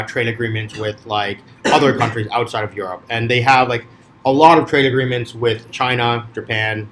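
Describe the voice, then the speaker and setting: A man's voice: mid-range voice, fairly bright tone, slight background noise. A man giving a casual classroom talk between video clips, rambling and full of filler words. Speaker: lecturer presenting videos.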